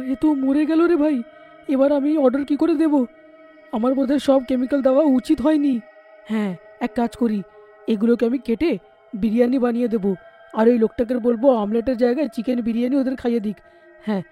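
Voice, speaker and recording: Man voicing a fast-talking greedy schemer. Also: very high voice, narrow, tinny audio, faint music behind. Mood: alarmed, scheming. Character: greedy, scheming young man, a murderous poultry seller. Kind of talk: animated horror folk-tale dub.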